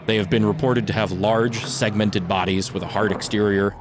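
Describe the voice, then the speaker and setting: A man's voice: fairly low pitch, steady tone, normal speed, background noise. A man reading scripted, documentary-style narration as the narrator of an audio piece. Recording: music bed under narration.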